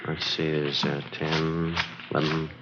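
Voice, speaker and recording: A man acting a terse police detective sergeant in a radio drama, speaking in a matter-of-fact, deadpan way. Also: extremely low pitch, a little slow, music in the background. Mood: businesslike, routine. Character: terse, businesslike police detective sergeant, also narrating the case. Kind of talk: 1940s-50s radio acting, clipped procedural delivery. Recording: muffled, noisy old radio recording.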